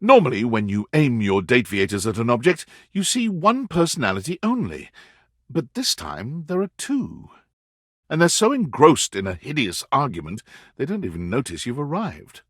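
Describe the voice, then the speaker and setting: An actor playing a video game's narrator, telling a story in a dramatic, measured way. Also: male voice, medium pitch, huge pitch swings, slightly rough, dry recording, studio quality. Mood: dramatic storytelling. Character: male storybook-style narrator of a dating game. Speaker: game narrator. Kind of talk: scripted second-person story narration.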